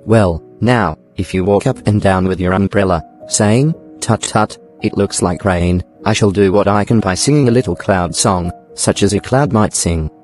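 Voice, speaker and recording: A man playing a naive, earnest toy bear who loves honey, pondering with gentle self-importance in a storybook dramatisation. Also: sits low, moderate pace, hardly any pauses, close to an everyday voice. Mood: anxious, practical. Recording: background music.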